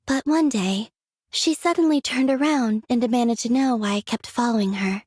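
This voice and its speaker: An actress voicing a gentle, aloof young woman in a video game, with a soft breathy voice and unhurried, wistful, quietly curious delivery. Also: a little high, no echo, studio quality. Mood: innocent curiosity. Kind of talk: scripted game character voice lines.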